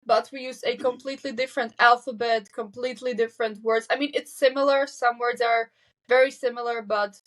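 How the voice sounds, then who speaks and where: A teenage girl whose first language is Slovak talks in English with a fairly high pitch. She answers openly, pausing to recheck facts and restarting her sentences. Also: fairly even intonation. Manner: candid, self-correcting, quick nervous laughs. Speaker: guest. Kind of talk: casual interview chat.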